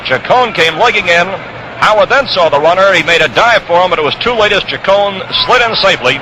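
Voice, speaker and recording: A man calling baseball play-by-play for 1960s radio in measured, descriptive phrases. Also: high-pitched. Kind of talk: 1960s radio sports commentary. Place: outdoor ballpark broadcast position. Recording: noisy vintage radio broadcast, ballpark outdoors.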